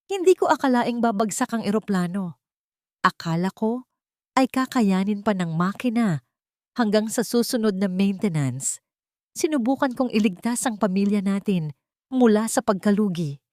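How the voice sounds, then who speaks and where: A woman's voice: mid-range voice, expressive, moderate pace, darkish tone, no room sound, clean studio sound, voice pushed up, close to an everyday voice. A woman voicing a cornered, greedy male executive who pleads and threatens. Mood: desperate.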